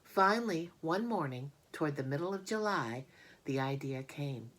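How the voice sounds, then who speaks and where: Woman reading a kids book out loud, lowish voice, storytime narration. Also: lively intonation, moderate pace. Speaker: audiobook reader.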